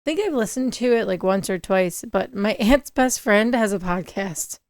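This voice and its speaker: A woman with a raspy voice co-hosting a podcast in casual chat, reacting with quick short exclamations. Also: medium pitch, animated, dry recording, clean studio sound. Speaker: podcast co-host.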